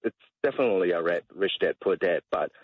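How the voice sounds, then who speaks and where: A middle-aged man with a husky voice, talking casually and hesitantly as a guest on talk radio. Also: low-pitched, expressive, fast, no echo. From Malaysia. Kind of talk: casual chat. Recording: dull, slightly muffled radio audio.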